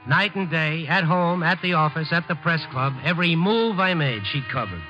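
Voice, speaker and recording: A man's voice: on the high side, animated, moderate pace, natural voice. An actor playing a wry, guarded newspaperman with a dry, clipped manner in a 1950s radio drama. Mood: reflective, wry. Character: wry, guarded male Chicago newspaperman narrating his romance. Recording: muffled 1950s radio broadcast, music behind.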